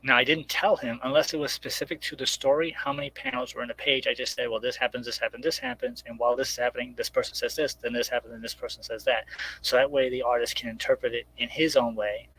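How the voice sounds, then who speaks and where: A man's voice: medium pitch, fairly even intonation, fast talker, sharp tone, breathy edge, poor audio. A man speaking casually as an interviewed writer, explaining step by step and acting out imagined remarks as he goes. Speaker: guest comic book writer.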